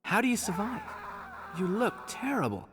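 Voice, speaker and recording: A man's voice: high-pitched, sing-song, moderate pace, music in the background. An actor playing an earnest, cautious male fantasy adventurer in a video game, speaking with concern.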